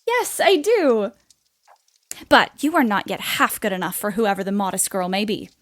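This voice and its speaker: A woman's voice: medium pitch, big pitch swings, moderate pace, close to an everyday voice. A woman playing a spirited, restless young woman writer, blunt but caring, in a period audio play. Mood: teasing, spirited.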